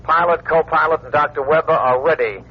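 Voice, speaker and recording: An actor playing a calm male mission control announcer in a radio drama, measured, official and clipped. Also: on the high side, breathy edge. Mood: official, tense. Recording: muffled radio transmission over engine noise.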